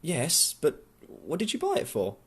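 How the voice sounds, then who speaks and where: Man reading as playful young woman, lively intonation. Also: fairly high pitch, a little slow, slightly rough, voice pushed up, exaggerated voice. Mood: teasing, puzzled. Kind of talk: audiobook character dialogue.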